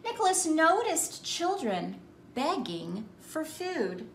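A woman in her thirties doing an expressive children's picture-book read-aloud, addressing young listeners in an exaggerated way. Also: high voice, takes it slowly, creaky, a little hiss or hum. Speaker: children's ministry storyteller and host. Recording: roomy indoor echo.

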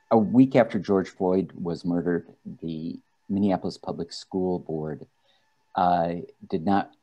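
A man speaking as an advocacy director on an online panel, halting and hesitant with restarts, on a video call. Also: deep voice, dark tone.

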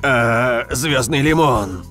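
Gentle, obliging grandpa for a kids' cartoon, lively intonation. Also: male voice, mid-range voice, takes it slowly, soft background music. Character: kindly, obliging grandfather with grey hair. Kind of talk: dubbed children's cartoon acting.

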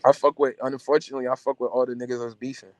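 A man's voice: medium pitch, little pitch movement, very fast, no echo. A young man from New Jersey talks with a guest's easy, slangy looseness, trailing into fillers and restarts. He speaks on a video call from inside a car, the sound coming through a phone held at arm's length. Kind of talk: casual chat. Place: car interior. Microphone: phone camera at arm's length on video call.

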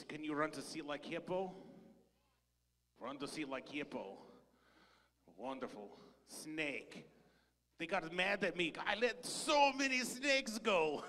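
A man playing a bumbling foreign male ex-scientist in a church skit, speaking broken English in a deadpan, sighing way. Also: medium pitch. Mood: playful. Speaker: children's skit performer. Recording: long hall reverb.

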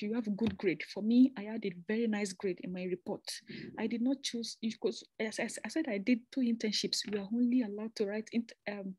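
A young woman from Nigeria talks through her slides like she's chatting with a friend, restarting sentences and tossing in asides. Her voice sits a little high, and the recording is close and dry, with no room sound. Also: a little mellow, breathy edge, no room sound. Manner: chatty, repeats and restarts phrases, conversational asides. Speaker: presenter explaining a master's program. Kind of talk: informal slide presentation.

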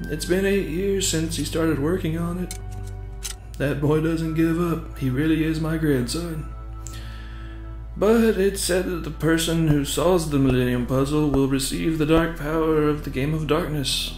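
A man's voice: on the high side, measured pace, a little mellow, soft background music, lightly acted. A man voicing an old grandfather in an anime fandub, a cheeky storyteller. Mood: concerned. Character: cheeky old grandfather, a grave teller of legends.

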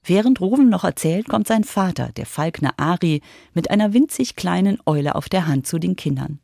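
A woman's voice: fairly low pitch, lively intonation, normal speed, creaky, mellow, no room sound, studio quality. A woman reporter reading scripted narration for a children's radio feature.

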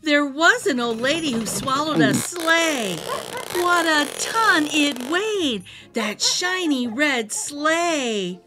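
A middle-aged woman hosting a children's read-aloud, telling a rhyming storybook in an animated, sing-song way over music. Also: sits high, slow. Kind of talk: expressive rhyming storybook narration. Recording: music behind the voice.

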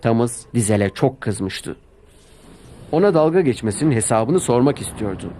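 A man narrating a scripted children's story in an expressive storytelling manner. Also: mid-range voice, moderate pace, slight background noise. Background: music.

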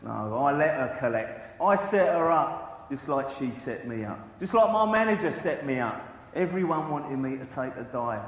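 A man playing a proud, bitter, washed-up boxer in a video game, speaking deliberately. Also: mid-range voice, lively intonation, muffled recording, lightly acted. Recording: echoey theatre hall, faint noise.

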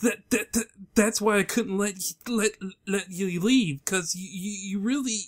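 A man's voice: high voice, measured pace, background noise. A man playing an insecure, lonely young man with a heavy stammer in a comic-book dub. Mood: nervous.

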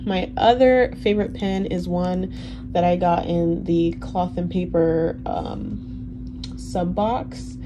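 A young woman in her twenties whose first language is Haitian Creole, chatting casually in a relaxed, rambling way full of ums and likes. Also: lowish voice, takes it slowly. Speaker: planner vlogger. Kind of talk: casual Q&A chat over a plan-with-me. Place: indoors at a desk. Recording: audible background noise.

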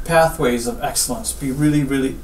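A middle-aged man from Guyana, a surgeon giving advice in an interview in measured, deliberate short phrases with pauses. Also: mid-range voice. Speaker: spine surgeon, interview guest. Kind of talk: interview answers, health advice. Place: small office, seated at desk. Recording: roomy, distant mic, faint background noise.

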